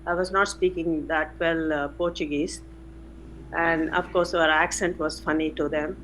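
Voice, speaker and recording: An older woman, a retired chemistry professor recalling her career in an interview, unhurried with frequent pauses. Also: sits low, steady tone, a bit bright, a little hiss or hum, poor audio. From India. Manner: unhurried, many "uh" pauses, self-corrects. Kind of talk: reflective interview answers.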